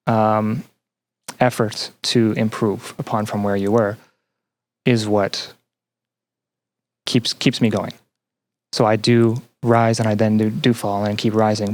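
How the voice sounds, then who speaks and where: A young man reflecting earnestly and haltingly as a guest in a podcast interview. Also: lowish voice, a little mellow, no echo. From Canada. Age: young adult. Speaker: game developer and student.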